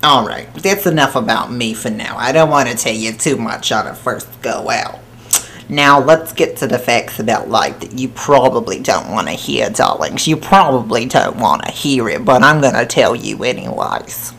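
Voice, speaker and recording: A woman playing a crude, brash elderly woman hosting a talk show, rambling in a deadpan, foul-mouthed way with an odd accent. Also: moderate pace, gravelly, full character voice. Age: 80s. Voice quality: low-pitched, with an odd accent. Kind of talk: comedic monologue. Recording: roomy with faint hiss.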